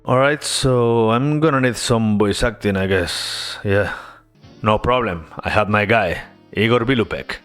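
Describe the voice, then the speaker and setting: A man's voice: lowish voice, unhurried, clear, resonant tone, faint music behind. Man narrating a devlog. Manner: earnest, relaxed storytelling with casual asides. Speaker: indie game developer.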